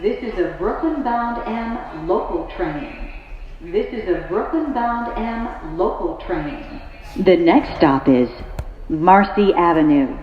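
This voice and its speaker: Echoey, slow-spoken female subway announcer. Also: lowish voice, animated, a crowd in the background. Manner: measured, segmented phrasing. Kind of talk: automated transit announcement, scripted. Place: played over speakers inside subway train. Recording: echoey muffled train PA, loud vehicle noise.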